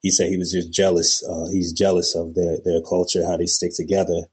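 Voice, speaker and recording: A man's voice: deep voice, completely flat delivery, fairly quick, darkish tone, slightly rough. A man calling in to a talk show, speaking casually and rambling with many fillers. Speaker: caller.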